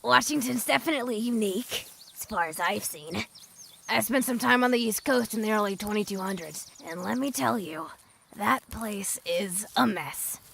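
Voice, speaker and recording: A woman playing a centuries-old female ghoul, a gruff, jaded wasteland guide with a creaky voice and a blunt, dry manner, in an audio drama. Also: mid-range voice, moderate pace, fairly bright tone, slight background noise. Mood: matter-of-fact. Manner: blunt, dry, world-weary.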